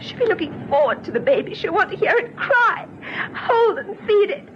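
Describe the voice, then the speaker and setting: An actress playing a despairing young mother in an old radio drama, bitter yet gentle, speaking in an earnest, halting way. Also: very high voice, sing-song, exaggerated voice. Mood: pleading. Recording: dull, faintly noisy old radio recording.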